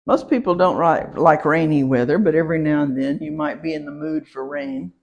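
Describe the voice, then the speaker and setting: A woman's voice: deep voice, fairly quick, creaky, muffled recording. A woman teaching a citizenship class, patiently repeating words for her learners and laughing lightly between points. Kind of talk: classroom pronunciation lesson.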